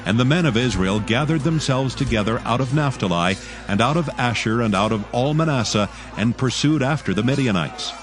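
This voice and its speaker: Male narrator for dramatized scripture, mid-pitched, formal and measured, with a strong music bed. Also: animated.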